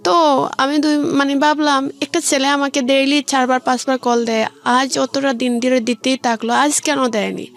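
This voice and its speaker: A woman telling her personal story in an interview, in a halting way with rephrasing. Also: sits high, flat delivery, soft background music. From Sylhet region, Bangladesh. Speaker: guest telling own life story.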